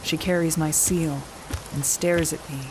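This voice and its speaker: An actress playing a battle-hardened warrior sister, who narrates in the first person in a measured, firm way. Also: female voice, low voice, steady tone, background noise. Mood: calm firm. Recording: rain ambience behind the voice.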